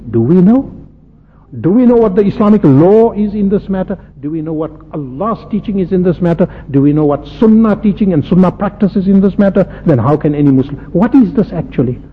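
A man from South Africa preaching a sermon, impassioned, firing rhetorical questions and swinging from loud peaks to soft low asides. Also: breathy edge. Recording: lo-fi phone-like radio broadcast with faint hiss and long hall reverb.

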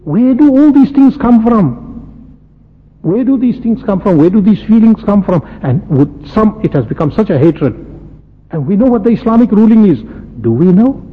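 A man's voice: high-pitched, animated, normal speed, slightly rough. A man preaching an impassioned Islamic sermon, emphatic, with repetition and rhetorical questions. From South Africa. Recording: muffled, reverberant hall with background noise.

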